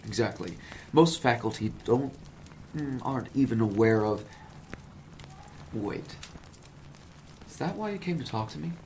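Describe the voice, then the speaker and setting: A fussy, smooth-talking professor of an audio drama, played by a man, wordy and lecturing, who turns evasive with hesitant fillers. His voice sits at an ordinary middle pitch. A faint background noise hangs behind him. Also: animated, measured pace, long pauses, darkish tone. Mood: nervous. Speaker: psychology professor.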